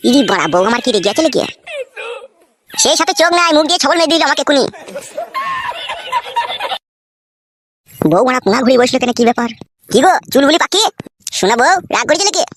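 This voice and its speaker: A man's voice: very high voice, big pitch swings, racing, noisy background. A man playing a bumbling, excuse-making village husband in a comic cartoon, puzzled and crude. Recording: music under the voice.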